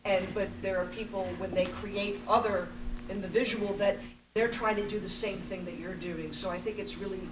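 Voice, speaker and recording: A woman asking a rambling, informal question from the audience, effusive, halting and self-deprecating, picked up in a convention room. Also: medium pitch, fairly even intonation. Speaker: audience member asking a question, acting teacher. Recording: distant, roomy, noisy hall pickup.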